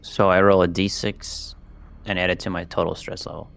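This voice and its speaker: Deep gravelly man, lively game player. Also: moderate pace, faint music behind. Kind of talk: casual game chat.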